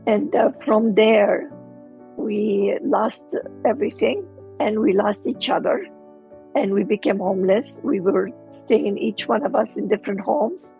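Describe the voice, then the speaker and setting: A middle-aged woman with a Lebanese accent, telling her personal story as an interview guest, halting as she searches for words. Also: mid-range voice, creaky, mellow, dull audio, faint music behind.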